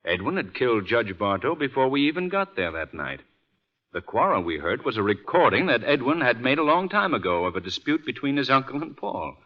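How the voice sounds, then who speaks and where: An actor playing an assured, urbane gentleman sleuth in a polished 1940s radio drama style. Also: medium pitch, big pitch swings, a little fast. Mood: assured, pleased. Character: suave, assured gentleman amateur sleuth, adult man. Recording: muffled vintage 1940s radio recording.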